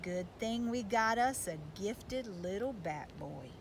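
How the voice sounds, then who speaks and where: A woman's voice: mid-range voice, expressive, takes it slowly. A woman voicing a proud, loving father who coaches baseball in a storybook, with folksy phrasing. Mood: warm, wry. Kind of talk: storybook read-aloud. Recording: loud background noise.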